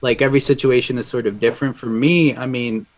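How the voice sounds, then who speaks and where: A man in his thirties from Colombia, a guest in a casual interview, speaking in a relaxed, conversational way with lots of fillers. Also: mid-range voice, quick pace. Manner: relaxed and conversational, frequent "like" and "dude". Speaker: audio engineer guest.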